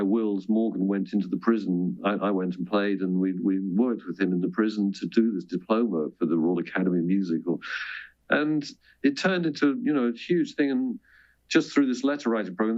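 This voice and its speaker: A man's voice: on the low side, lo-fi sound. A British man talking as an interview guest, telling stories in a rambling, self-interrupting, self-deprecating way. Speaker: guest, composer and choir director. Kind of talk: interview conversation, personal storytelling.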